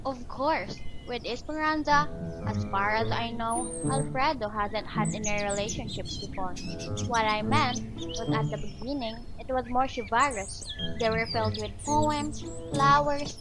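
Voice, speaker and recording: Woman playing an inquisitive daughter, higher-pitched. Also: measured pace, lightly acted. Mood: curious, concerned. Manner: questioning, emphatic. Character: inquisitive, concerned grown daughter. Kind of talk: radio drama acting. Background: music and birdsong.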